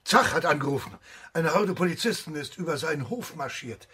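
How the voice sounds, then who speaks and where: An actor playing a brusque, alarmed farmer in a radio drama, with a rough husky voice and a terse, commanding way. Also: male voice, fairly high pitch, expressive, brisk, sharp tone, exaggerated voice. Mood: urgent. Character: brusque, alarmed male farmer neighbour with a gun.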